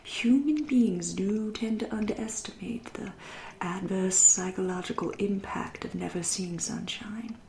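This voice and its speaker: Slow, whispery English vampire woman, acted out by a woman. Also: mid-range voice, background noise, lightly acted. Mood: patronising, musing. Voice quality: soft, breathy half-whisper. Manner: slow, deliberate, politely menacing. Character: centuries-old female vampire, genteel, teasing, coolly predatory. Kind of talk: ASMR roleplay, whispered and soft-spoken.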